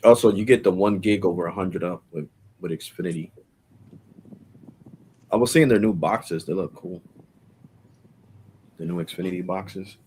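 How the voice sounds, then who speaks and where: A deep-voiced man in his forties rambles through a casual live stream chat. He talks loosely and full of slang, tossing in bro and freaking, with a little background hum. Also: unhurried, long pauses, a little mellow, low-quality recording. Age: 40s.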